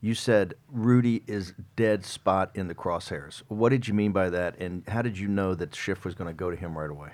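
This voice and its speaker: A man's voice: deep voice, normal speed, few pauses, a little mellow. A man hosting a political talk show, pressing his guests with emphatic rhetorical questions and frequent restarts.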